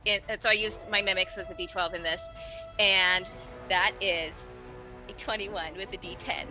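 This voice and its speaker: A woman's voice: mid-range voice, fairly even intonation, moderate pace, sharp tone, soft background music, poor audio. A woman playing a tabletop game, chatting informally and often interrupting herself. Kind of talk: casual, animated game chat.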